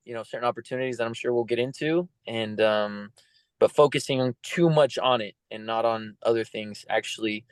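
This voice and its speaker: A man's voice: medium pitch, vocal fry, no room sound. A man in his thirties from the United States, talking earnestly and reflectively as a podcast guest, with many fillers. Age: 30s. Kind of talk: casual interview talk.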